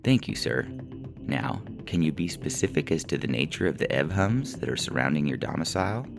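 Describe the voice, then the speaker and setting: A man acts out an officious male support agent in a sci-fi story. He is polite, scripted and completely unruffled, with a gravelly voice and music clearly heard behind him. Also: a bass voice, brisk, full character voice. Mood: methodical. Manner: scripted, bureaucratic, politely deadpan.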